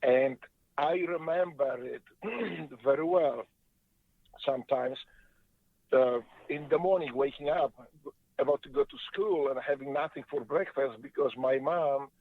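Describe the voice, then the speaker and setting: Polish guy calling in from his car, earnest halting storytelling, phone-line sound, dry. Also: fairly high pitch, dry recording. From Poland. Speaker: guest. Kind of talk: phone interview. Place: car on the freeway.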